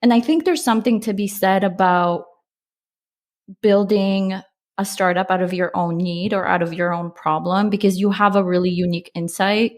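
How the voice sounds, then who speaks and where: A woman's voice: mid-range voice, fairly even intonation, moderate pace. A woman from Poland, a startup founder, talking reflectively in a casual interview with frequent restarts and fillers.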